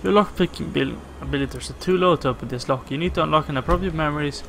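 A man's voice: on the high side, rapid-fire, creaky, slight background noise. A man casually commenting on gameplay, thinking aloud with many fillers. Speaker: gaming channel host. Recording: voice over loud game music. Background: game music.

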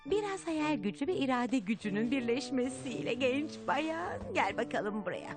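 A cheerful cartoon auntie rabbit. Her high voice rises and falls expressively, affectionate and encouraging, with music in the background. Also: big pitch swings, moderate pace. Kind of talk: dubbed cartoon acting. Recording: music behind the dialogue.

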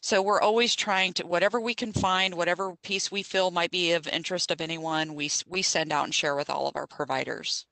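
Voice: medium pitch, steady tone, fairly quick, creaky, hardly any pauses, pushed and bright, no echo, rough recording. A vaccine program coordinator speaking on a video call panel, earnest with a few stumbles.

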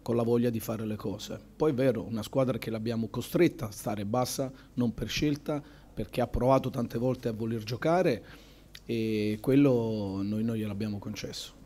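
A man in his forties, a football coach, answering questions at a post-match press conference in a measured, thoughtful way, with many pauses. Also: mid-range voice, dark tone. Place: press room in front of a sponsor backdrop. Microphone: table microphone.

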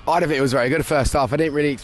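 A man's voice: a little high, steady tone, rapid-fire, over music. A young male football fan with an English accent, giving blunt, joking opinions in casual banter outdoors. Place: outside a stadium by a brick wall. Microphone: handheld phone held out.

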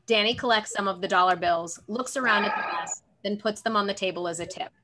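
Bright-voiced woman narrating a script. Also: mid-range voice, fairly quick. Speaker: narrator reading stage directions. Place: room at home. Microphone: video call.

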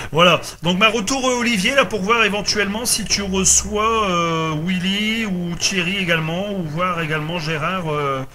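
Male radio ham, high forceful voice, casual, repetitive delivery, faint noise. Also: moderate pace. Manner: repeats himself, tag words. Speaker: amateur radio operator running a group contact.

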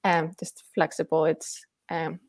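Low, gravelly woman. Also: level tone. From Iceland. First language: Icelandic. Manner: careful, with frequent "um" pauses. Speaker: author and former Icelandic teacher. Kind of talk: interview answers over video call. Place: home room, indoors. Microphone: earbuds with mic on a video call.

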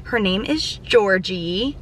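Young woman, twenty-one, casual vlog chat, bright voice, slightly high, parked car, faint car hum. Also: lively intonation, slow, poor audio. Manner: bubbly, chatty, lots of fillers. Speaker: vlogger. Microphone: camera on the dashboard.

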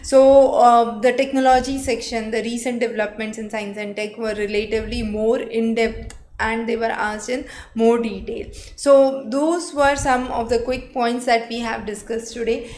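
Indian woman tutor, high voice, echoey room. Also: a little mellow, slight background noise. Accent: Indian English. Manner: explanatory, frequent "uh" fillers, talks with hands. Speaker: exam coaching tutor. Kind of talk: live exam-prep lecture. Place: studio, seated before a screen backdrop.

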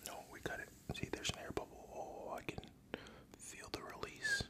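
A young adult whispering crude, rambling chat close to a studio microphone at home, a little tipsy. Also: normal speed. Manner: profane, rambling, joking. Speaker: ASMR creator. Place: home room. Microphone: studio USB microphone.

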